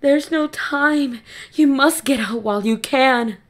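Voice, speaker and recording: A woman's voice: high-pitched, slow pace. A woman playing a frail, ailing old mother, desperate and loving, pleading urgently in an audio drama.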